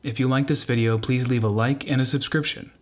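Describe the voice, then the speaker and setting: A male narrator delivers a scripted documentary piece. His voice is medium in pitch, and his reading is measured and formal throughout. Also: fairly even intonation. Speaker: video narrator.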